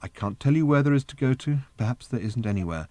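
A man voicing a calm, earnest mother in a story, quietly and persistently pleading with her daughter. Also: mid-range voice, fast, dry recording, natural voice. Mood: gentle pleading.

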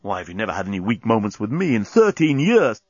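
A man's voice: lowish voice, sing-song, quick pace, breathy edge, dry recording, lo-fi sound. A man voicing an exasperated, defiant woman in an audiobook, indignant and emphatic. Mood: defensive, indignant. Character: exasperated, defiant woman in a long-term relationship. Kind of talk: audiobook character voice.

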